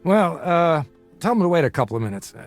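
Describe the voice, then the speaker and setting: Glib boozy bookie, high-pitched and animated. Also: male voice, brisk, faint music behind. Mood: flustered, charming. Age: middle-aged. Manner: glib, theatrical flattery with wistful turns. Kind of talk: audio drama acting.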